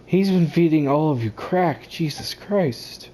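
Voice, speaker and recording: A woman's voice: sits low, lively intonation, a little slow, warm tone, slight background noise. A woman giving casual, sarcastic, slangy gameplay commentary with plenty of swearing. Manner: sarcastic, foul-mouthed, slangy. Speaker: game streamer.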